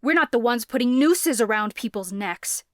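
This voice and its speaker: A woman's voice: fairly high pitch, lively intonation, normal speed, no room sound, studio quality, lightly acted. Woman doing a furious young man. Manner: raging, demanding. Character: angry young man confronting his father.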